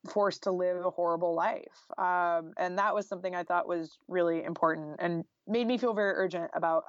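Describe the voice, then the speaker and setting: Female podcast guest, American, fairly low register, informal and candid, dry close sound. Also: dry recording, highs cut off. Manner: informal and candid, peppered with "like" and "you know". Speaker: interview guest, journalist and author. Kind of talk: conversational podcast interview.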